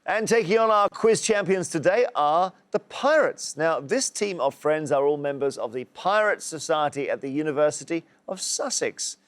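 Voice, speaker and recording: A man's voice: a little high, lively intonation, normal speed, hardly any pauses. A man hosting a TV quiz show, reading questions and bantering playfully with contestants.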